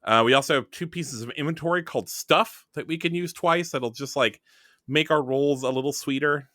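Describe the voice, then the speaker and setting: A man's voice: on the high side, normal speed, pushed and bright, no room sound, clean studio sound. A man hosting a podcast, chatting casually with a wry, joking manner. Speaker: podcast host. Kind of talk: casual scripted-game podcast introduction and chat.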